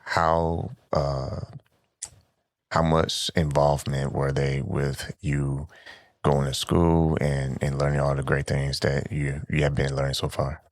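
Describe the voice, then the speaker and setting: A man hosting a radio interview in a laid-back, easygoing style. His voice sits extremely low and stays flat and even. The sound is close and dry, with no room echo. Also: takes it slowly. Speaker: radio show host. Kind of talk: casual interview chat.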